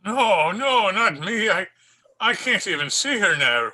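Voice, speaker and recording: Male actor as tormented cop uncle, high-pitched, forceful and sharp, pleading, panicked, old-time radio melodrama, video call recording. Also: sing-song, measured pace, full character voice. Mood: panicked, anguished. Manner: frantic, pleading, repeats phrases. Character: guilt-ridden Irish-American police sergeant uncle, anguished and panicked.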